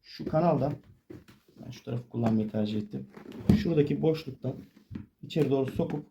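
A man's voice: medium pitch, fairly quick, gravelly, heavy background noise. A man giving a casual hands-on tutorial, explaining informally step by step as he works. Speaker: DIY tutorial presenter.

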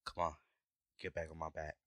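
A man voicing a gruff, protective dragon adventurer in an audio roleplay, talking urgently and with exasperation to his companion. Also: rumbling low, monotone, brisk, sharp tone, no echo, studio quality. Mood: concerned, protective. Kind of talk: scripted ASMR audio roleplay acting.

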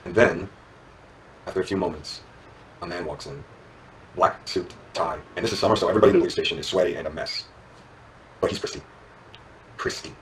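An actor plays a lawman, earnest and quietly intense. His voice sits low and is kept hushed and deliberate, as in intimate screen drama, with faint noise behind it. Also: far from the mic, close to an everyday voice, rough recording. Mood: wistful, intent. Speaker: law enforcement agent. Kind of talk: naturalistic drama dialogue.

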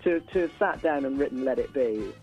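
A man's voice: mid-range voice, lively intonation, fairly quick, muffled recording, faint music behind. A middle-aged man talking casually and emphatically as a musician guest in a radio interview, often repeating phrases.

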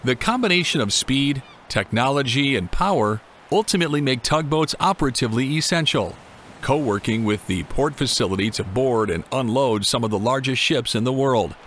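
A man reading a scripted documentary voice-over in a measured, even way, with the occasional odd word slip. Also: medium pitch, slight background noise. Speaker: documentary narrator. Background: music.

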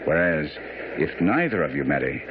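An actor playing a shrewd, commanding Victorian detective in a radio drama, questioning with incisive authority. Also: male voice, rumbling low, big pitch swings, normal speed, narrow, tinny audio. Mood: grave, insistent. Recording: noisy, band-limited old radio broadcast.

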